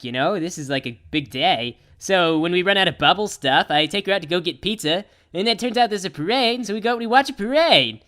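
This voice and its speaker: A woman's voice: lowish voice, a bit bright. A woman voicing a brash, easygoing young cartoon pegasus pony who tells a story in a casual, rambling slacker way. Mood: laid-back. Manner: casual slacker rambling, full of "like" and "you know". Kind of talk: improvised-sounding comic cartoon storytelling.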